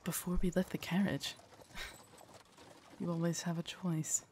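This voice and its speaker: A woman acting low-voiced, devoted lady knight. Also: little pitch movement, measured pace, darkish tone, husky. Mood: troubled, protective. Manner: formal, courtly, hesitant and stammering when flustered. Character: devoted female knight, tender, earnest, shyly lovestruck royal guard. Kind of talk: roleplay audio drama, intimate scripted monologue.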